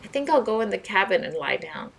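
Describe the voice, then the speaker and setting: A woman's voice: medium pitch, big pitch swings, fast talker, creaky, fairly bright tone, a little hiss or hum, rough recording. A woman voicing a jovial old grandpa in a storybook, with a hearty, folksy manner. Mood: apologetic.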